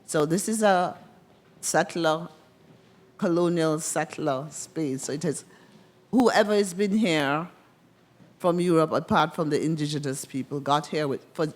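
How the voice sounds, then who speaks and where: A woman's voice: low voice, measured pace. A woman from the congregation with a Jamaican accent, making a thoughtful comment that builds an argument.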